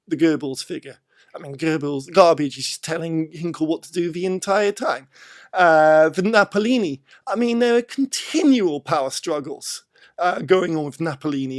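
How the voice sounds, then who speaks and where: A man with a British accent, talking through a film in a casual discussion, explaining and repeating words for emphasis. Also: on the high side. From Britain.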